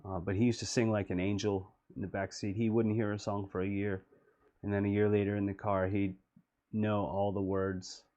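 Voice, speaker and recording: A middle-aged man talking through his own music video, slow and wistful. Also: little pitch movement, warm tone, dull audio. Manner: low-voiced, slow and wistful. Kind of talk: reflective commentary.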